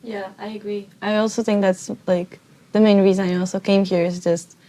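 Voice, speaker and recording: A young woman from Portugal, a student, talking in a relaxed, chatty way with many fillers. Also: mid-range voice, moderate pace, no echo. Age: young adult. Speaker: student guest. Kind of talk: casual chat.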